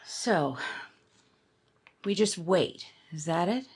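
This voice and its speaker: An actress playing a frightened young wife trapped with a gunman, breathless and quick to panic, in 1950s radio drama style. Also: fairly low pitch, expressive, a little mellow, breathy edge, exaggerated voice. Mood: weary resigned.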